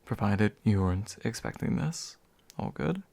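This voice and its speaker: A man playing a courteous, gentle demon in a soft ASMR roleplay, politely formal and a little hesitant. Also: lowish voice, animated, moderate pace, vocal fry, no room sound. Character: courteous, gentle male demon offering comfort to his summoner. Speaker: ASMR voice actor.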